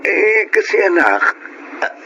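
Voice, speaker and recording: A man's voice: high voice, sing-song, slow, lo-fi sound, background noise, full character voice. An actor playing a widowed young father who speaks tenderly and laughs nervously, narrating his own story in a radio drama.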